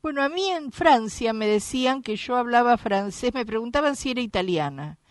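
A woman's voice: fairly high pitch, lively intonation, normal speed, dry recording, clean studio sound. A woman from Argentina with a Rioplatense accent, hosting a radio chat and telling anecdotes in a chatty way. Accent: Argentine Rioplatense Spanish. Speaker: radio host.

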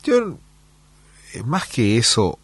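A middle-aged man from Peru, a musician giving interview answers in a relaxed storytelling way, with many fillers and restarts. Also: medium pitch, takes it slowly, husky, no echo. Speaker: musician guest.

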